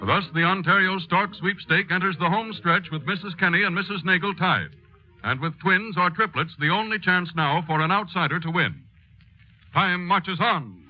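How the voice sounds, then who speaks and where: A man's voice: high-pitched, lightly acted. A man playing a grave, declamatory newsreel narrator in a 1930s radio news dramatization, stressing words with solemn weight. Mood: grave, dramatic. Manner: portentous, declamatory, drawn-out emphasis. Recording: muffled old 1930s radio recording, music.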